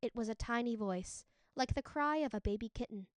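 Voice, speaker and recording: High-voiced woman reading a storybook aloud. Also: brisk, no room sound, studio quality. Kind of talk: expressive storybook read-aloud narration.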